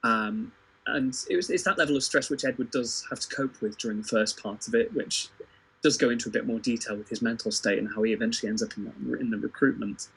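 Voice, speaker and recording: A man discussing history informally, thinking aloud haltingly and repeating words as he goes. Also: medium pitch, creaky, fairly bright tone, slightly rough, rough recording. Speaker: podcast panellist.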